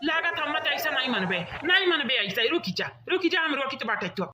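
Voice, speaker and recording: Woman doing high sharp awadhi cartoon kid of the family, worried, nagging. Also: big pitch swings, brisk, some chatter behind, slight background noise, exaggerated voice. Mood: exasperated questioning. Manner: insistent, repeats pleas and questions. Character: worried, nagging child of the old village woman. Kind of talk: comic cartoon acting.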